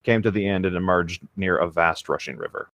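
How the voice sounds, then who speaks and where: A man's voice: low-pitched, brisk, a bit bright, no echo. A man reading a story aloud and chatting casually as co-host, with a hesitant manner.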